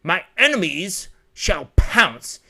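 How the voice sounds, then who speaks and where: A man's voice: high-pitched, big pitch swings, takes it slowly, bright, forceful tone, pitched above the performer's own voice, heavily acted. A man voicing a stern, pompous wealthy father, haughty and scolding, in a story reading.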